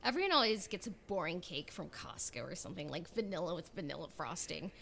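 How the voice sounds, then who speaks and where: A woman's voice: on the low side, expressive, fast talker, creaky, a bit bright, a little hiss or hum. A woman co-hosting a podcast, chatting casually in a playful, opinionated way. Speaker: podcast co-host. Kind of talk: casual chat.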